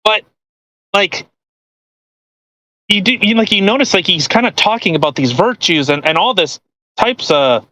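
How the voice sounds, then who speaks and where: Podcast co-host, mid-range pitch, crude and mocking, swears freely while reading aloud and commenting casually. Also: expressive, moderate pace, pauses often, bright, forceful tone.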